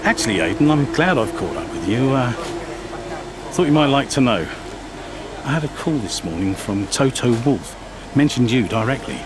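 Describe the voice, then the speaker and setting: A man's voice: medium pitch, big pitch swings, moderate pace, close to an everyday voice. A man voicing a breezy team boss chatting with his driver. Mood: jovial. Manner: jovial, breezy. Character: jovial middle-aged male team boss.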